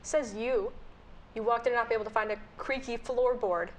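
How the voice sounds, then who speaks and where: A woman's voice: on the high side, fairly even intonation, brisk, slight background noise. A woman playing a tabletop game, sassy and playful as she describes her character. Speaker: player.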